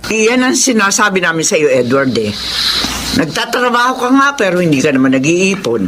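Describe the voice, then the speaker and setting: An actress playing a devout, soft-hearted mother who scolds her son gently in a radio drama. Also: lowish voice, big pitch swings, a little fast, background noise. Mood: scolding.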